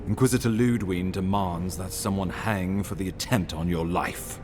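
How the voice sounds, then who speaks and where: An actor playing a grave imperial official in a video game, speaking formally and deliberately with authority. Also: male voice, low voice, fairly even intonation, music in the background, natural voice. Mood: grave, resolute. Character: grave, commanding imperial official briefing an envoy.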